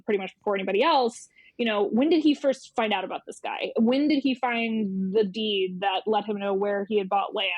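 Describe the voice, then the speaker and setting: A woman's voice: mid-range voice, no room sound. A woman talking conversationally as an editor in an interview, thoughtful and often circling back to rephrase. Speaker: magazine editor being interviewed. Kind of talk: reflective conversational explanation.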